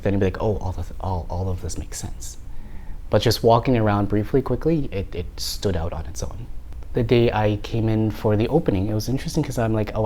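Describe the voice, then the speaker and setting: A man in his thirties from Canada speaks in a relaxed, reflective interview about his art. His voice sits low and warm, and he often restarts words as he gathers his thoughts. A faint hum of background noise sits under the recording. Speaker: artist being interviewed.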